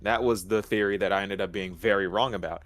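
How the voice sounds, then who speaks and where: Low-voiced young man, casual and opinionated. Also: steady tone, quick pace, a bit bright, no room sound, faint music behind. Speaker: guest co-commentator on a game discussion. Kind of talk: casual chat.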